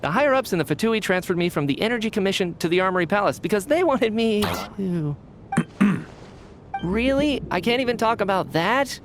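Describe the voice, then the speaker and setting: A man's voice: high-pitched, expressive, brisk, slight background noise. A man voicing a friendly, fussy young official in a game, sociable and easily flustered. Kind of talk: game voice acting.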